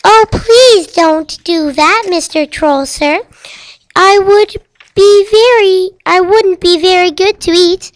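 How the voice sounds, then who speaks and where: A woman plays a plucky little billy goat in a storybook tale, high-pitched and unhurried. The young goat is stubborn but polite, speaking eagerly and a bit haltingly, close and dry. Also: no room sound. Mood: polite, pleading.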